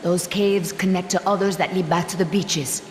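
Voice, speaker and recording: An actress playing a defiant yet remorseful corporate spy in a video game, earnest and intense. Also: female voice, lowish voice, fairly even intonation, moderate pace, slight background noise, natural voice. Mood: hushed, determined. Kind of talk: dramatic video game voice acting. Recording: echoey hall reverb with music.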